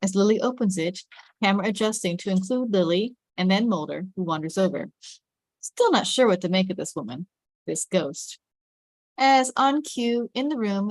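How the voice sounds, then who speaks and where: A woman's voice: mid-range voice, no room sound. A woman reading stage directions as the narrator of a scripted drama table read.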